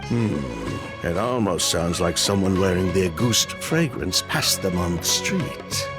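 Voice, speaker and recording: An actor playing a sly, chuckling male perfume merchant in a video game, smooth-talking and evasive. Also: deep voice, lively intonation, a little slow. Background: game background music.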